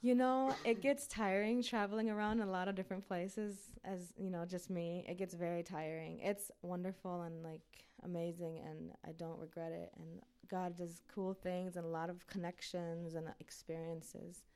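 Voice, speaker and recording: Low, mellow-voiced woman from Uzbekistan. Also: little pitch movement, normal speed, hardly any pauses, smooth. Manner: earnest, chatty, fillers like "you know". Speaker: missionary. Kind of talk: casual sharing with a church group.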